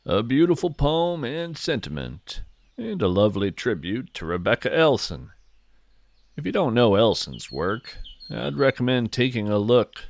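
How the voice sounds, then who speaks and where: A man hosting a scripted podcast, narrating whimsical nature fiction and poetry in an earnest, deliberate, conversational way. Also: medium pitch, very animated, creaky, big-room sound.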